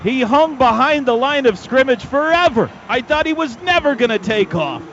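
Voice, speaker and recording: Male American play-by-play caller, high voice, dramatic punchy phrasing, heard through a muffled, noisy stadium radio broadcast. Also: moderate pace. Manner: excitable, dramatic build-ups in short punchy phrases. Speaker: play-by-play announcer. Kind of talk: live American football radio commentary.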